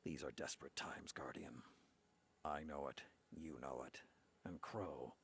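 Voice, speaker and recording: An actor playing a stern, hardened old warrior lord in a video game, grave and blunt, with a gravelly voice. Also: male voice, extremely low pitch, unhurried, exaggerated voice. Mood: exasperated, stern. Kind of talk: dramatic video game acting. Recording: loud music behind the voice. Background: music.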